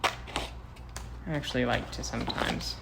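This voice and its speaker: A man's voice: moderate pace, very noisy. An American man, a first-grade teacher, gives a casual, playful craft demonstration for young children, talking to them as he works.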